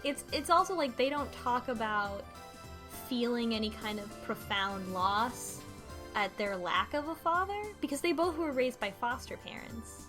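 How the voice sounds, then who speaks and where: A woman's voice: on the high side, lively intonation, measured pace. A woman co-hosting a casual discussion, recapping and commenting on a game's story. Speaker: podcast co-host recapping the plot. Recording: faint music behind the voice.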